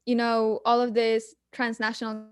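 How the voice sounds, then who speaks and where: A young woman speaks in an earnest, measured way, pausing often with little fillers. Her voice sits somewhat high. The sound is dry and close, with no room around it. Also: completely flat delivery, clean tone, no echo. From Mexico. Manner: earnest, measured, frequent ums. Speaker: guest climate activist. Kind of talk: panel discussion answers.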